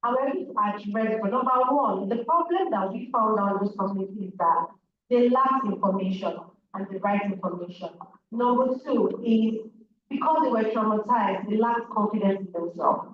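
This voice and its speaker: A woman's voice: mid-range voice, moderate pace. A woman with a Nigerian accent, a native Igbo speaker, giving an earnest, emphatic talk as a speaker at a public event. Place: large event hall. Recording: echoey hall, distant muffled mic, audience noise.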